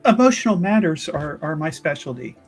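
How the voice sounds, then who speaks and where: A woman playing a gentle, earnest, pious priestess who offers solace in formal, halting words over music. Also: sits low, lively intonation. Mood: earnest, soothing.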